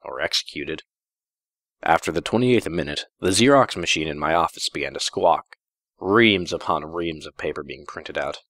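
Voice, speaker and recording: A man narrating a first-person story in a measured, deliberate, dramatic reading style. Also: extremely low pitch, fairly bright tone, no echo, clean studio sound.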